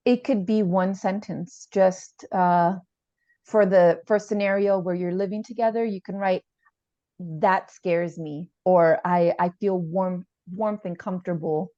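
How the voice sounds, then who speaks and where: Woman acting as female therapist, mellow, low-pitched, calm, reassuring, hesitant, echoey room. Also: measured pace, close to an everyday voice. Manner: reassuring, hesitant, repeats gently. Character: calm, supportive, gentle female family therapist. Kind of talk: improvised role-play of a therapy session. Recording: echoey room, slightly dull sound.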